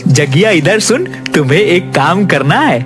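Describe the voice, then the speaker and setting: A man's voice: high-pitched, very animated, measured pace, over music. A man voicing a greedy, scornful father who sells sweets in a village, in a Hindi cartoon. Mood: conspiring. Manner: grumbling, scornful. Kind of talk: Hindi moral-story cartoon acting.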